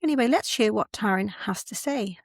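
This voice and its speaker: A woman's voice: mid-range voice, expressive, fairly quick, creaky, no room sound, studio quality. A woman hosting a podcast, explaining words patiently and spelling them out letter by letter. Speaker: podcast host. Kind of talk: podcast narration and interviewing.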